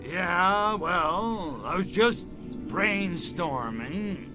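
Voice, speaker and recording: A man with an American accent voicing a cocky, wisecracking alien invader in a video game, gravelly, in sardonic hipster slang. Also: high-pitched, animated, very slow, over music, exaggerated voice. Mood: puzzled, flippant. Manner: laid-back hipster slang, sardonic drawl. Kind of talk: video game cutscene acting.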